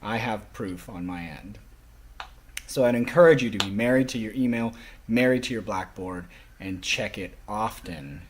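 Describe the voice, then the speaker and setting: A man voicing a classroom lecture as an interpreter, speaking emphatically in halting phrases with stressed key words. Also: lowish voice, slight background noise.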